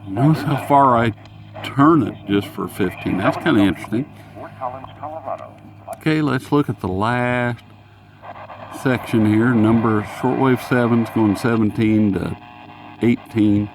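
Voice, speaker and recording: A man's voice: medium pitch, a little slow, pauses often, background noise. A man chatting casually through a hands-on gadget review in a rambling, folksy, self-mocking way, as a hobbyist reviewer.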